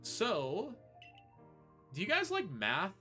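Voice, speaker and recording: A man's voice: high voice, wildly swooping pitch, slow, sharp tone. A man giving casual live commentary while he plays a video game speedrun. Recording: faint music behind the voice. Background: game music.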